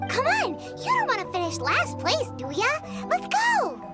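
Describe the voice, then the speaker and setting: Squeaky-high, cheeky little fairy. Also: female voice, wildly swooping pitch, unhurried, exaggerated voice. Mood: eager, urging. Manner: speaks of herself in the third person. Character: tiny, cheeky, childlike floating fairy companion. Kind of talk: video game dialogue. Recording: prominent tinkling music behind the voice.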